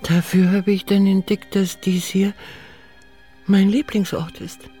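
An actress playing a lonely, tender elderly woman in a radio drama, musing in a hushed, intimate way. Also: mid-range voice, little pitch movement, slow pace, breathy edge, faint music behind. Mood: wistful, tender. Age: older.

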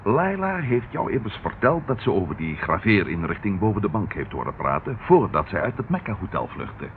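An actor in a radio drama playing a plain-spoken police inspector, blunt and questioning in manner. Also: male voice, lowish voice, sing-song, fairly quick. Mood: probing. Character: plain-spoken, lively male police inspector, partner detective. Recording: tinny, noisy old radio recording.

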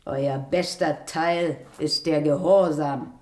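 A woman's voice: deep voice, steady tone, takes it slowly, darkish tone. An actress playing a proud, aloof queen with a cool, ironic manner in a radio play. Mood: haughty. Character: haughty proud queen, middle-aged woman. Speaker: Queen Sophie Dorothea. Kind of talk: 1970s radio drama acting.

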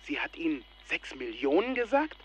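An actor playing a self-assured, formal and insistent Nigerian scam official in a radio play, speaking over the telephone. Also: male voice, a little high, big pitch swings, moderate pace, husky. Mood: brusque, assured. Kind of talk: radio play acting, formal phone talk. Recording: dull, noisy telephone line.

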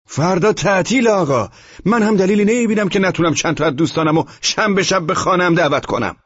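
A man's voice: low-pitched, darkish tone, slightly rough. A man voicing a flustered, polite yet stubborn tenant in an audiobook, formal and defensive. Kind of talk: audiobook character voice. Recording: dull, muffled, echoing room recording.

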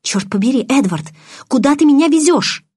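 A woman's voice: a little high, brisk, a little mellow, slightly rough, voice pushed up. A woman reading an audiobook, voicing a frightened, furious and stubborn teenage girl who pleads insistently. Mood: panicked, angry.

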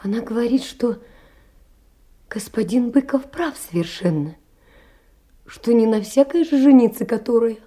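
A sickly, poor young woman in a stage drama. Her voice is very dark and soft-edged, and she speaks in an earnest, plaintive way, blaming herself and aching with sorrow. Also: on the high side, measured pace, long pauses. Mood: hurt, indignant. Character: sickly, anguished, self-reproaching poor young woman writing letters. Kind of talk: theatrical monologue, letters read as drama.

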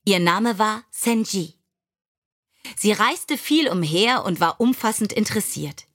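A woman reading scripted biographical stories for children as an audiobook narrator, measured and clearly articulated. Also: mid-range voice, lively intonation, a bit bright.

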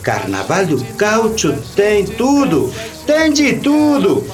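A woman's voice: medium pitch, wildly swooping pitch, slow pace, darkish tone, soft background music. An actor, a woman, playing a festive Brazilian carnival announcer in a radio drama, theatrical and exclamatory, with a Brazilian accent and Portuguese mixed into the Spanish. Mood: grandiose. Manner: theatrical, exclamatory, repeats phrases.

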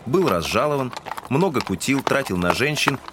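A man's voice: mid-range voice, big pitch swings, moderate pace, background noise, close to an everyday voice. A man giving scripted literary narration in a Russian audio drama.

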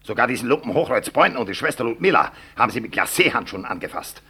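An actor playing an outspoken, hot-tempered medical professor in a 1960s German radio drama, raspy and rhetorical. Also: male voice, mid-range voice, animated, fast talker, bright, forceful tone, exaggerated voice. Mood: indignant, scathing. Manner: emphatic, rhetorical.

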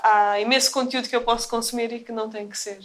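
A woman with a European Portuguese accent, chatting casually and throwing in quick jokes. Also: a little high, fairly even intonation, fairly bright tone. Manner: quick, joking asides. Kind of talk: casual chat.

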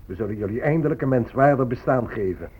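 Pompous husky government man. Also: medium pitch, animated, fast talker, narrow, tinny audio. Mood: patronising. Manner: pompous, patronising. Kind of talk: radio drama acting.

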